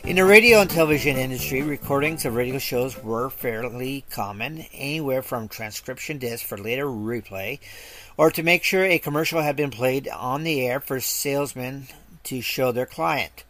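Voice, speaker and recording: A man's voice: mid-range voice, moderate pace, pushed and bright. A man reading a scripted introduction as a podcast host.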